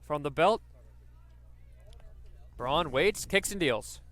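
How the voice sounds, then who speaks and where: A man's voice: a little high, lively intonation, takes it slowly, a bit bright. A man doing live radio play-by-play commentary of a baseball game.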